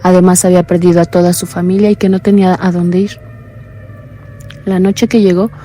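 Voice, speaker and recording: An actress playing a blind young woman calling in to tell a frightening story, talking in a low, earnest, uneasy way. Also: warm tone, slight background noise, lightly acted. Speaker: caller. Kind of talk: radio drama acting.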